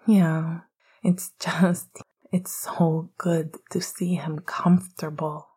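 A woman voicing a tender, teasing young man in love in a breathy, hushed near whisper, for a story reading. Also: deep voice, little pitch movement, takes it slowly, full character voice. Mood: tender, quiet.

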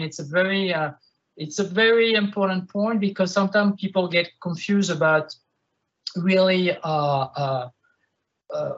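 A man, a winemaker speaking on a panel, talking hesitantly with many pauses and fillers. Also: a little high, a bit bright, highs cut off. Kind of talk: panel discussion.

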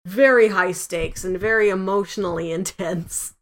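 Woman chatting casually, medium-pitched voice. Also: moderate pace, vocal fry. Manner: informal, peppers talk with "like". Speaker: podcast panelist giving recommendations. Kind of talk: casual podcast chat.

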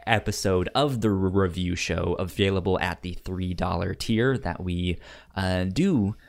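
A man chatting casually as a podcast co-host, halting and stammering over the starts of words. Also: deep voice, gravelly. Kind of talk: casual chat.

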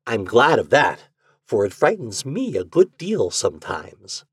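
A man voicing an eager, twitchy, unhinged man in an audiobook story, speaking with urgent, conspiratorial excitement. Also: medium pitch, measured pace, husky, no room sound, clean studio sound, voice pushed up, heavily acted.

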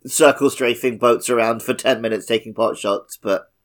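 A man's voice: medium pitch, steady tone, moderate pace. A man co-hosting a game podcast, chatting casually, laughing often and trailing off mid-sentence. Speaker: podcast co-host. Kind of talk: casual chat about a video game.

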